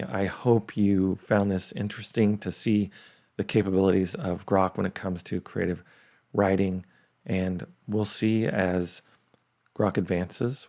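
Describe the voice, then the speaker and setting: A man's voice: low voice, vocal fry, a little mellow. A middle-aged man, hosting a tech series with casual, unscripted demo commentary. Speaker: host of a tech tutorial series.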